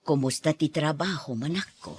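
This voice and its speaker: A woman playing a gentle, caring older mother in an audio drama, speaking in a soothing, measured way. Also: low voice, husky.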